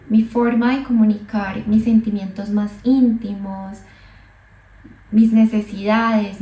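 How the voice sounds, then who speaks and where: A young woman from Colombia, a psychologist, telling her own migration story slowly and thoughtfully, with hesitant restarts. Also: medium pitch, mellow, distant mic. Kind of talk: reflective personal monologue. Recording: echoey room, voice a bit off mic.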